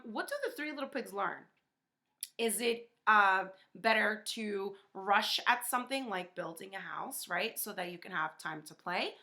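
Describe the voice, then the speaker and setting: Female teacher for children's read-aloud lesson: bright, forceful voice, instructive, questioning delivery. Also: medium pitch. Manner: instructive, asks questions, frequent checking tags.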